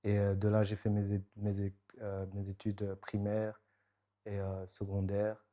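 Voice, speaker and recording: A man introducing himself and his family, halting with frequent fillers and restarts. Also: fairly low pitch, monotone, warm tone. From Rwanda and Belgium. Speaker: youngest sibling introducing himself. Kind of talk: spoken self-introduction.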